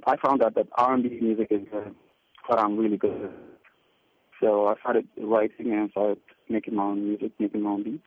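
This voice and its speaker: A man's voice: on the low side, little pitch movement, brisk, breathy edge. A man in his twenties from Sudan, a musician guest talking in a hesitant way with many fillers. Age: 20s. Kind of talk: phone interview. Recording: dry tinny phone line.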